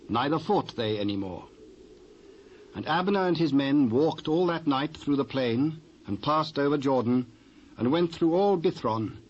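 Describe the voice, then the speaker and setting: British male scripture narrator, muffled old tape. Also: mid-range voice. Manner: measured, formal storytelling. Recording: dull, hissy old cassette transfer.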